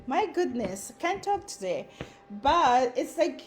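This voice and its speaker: A woman's voice: high voice, sing-song, slow pace, faint music behind. A woman with a Zimbabwean accent giving an earnest motivational talk as a content creator. She drives her points home by repeating short phrases.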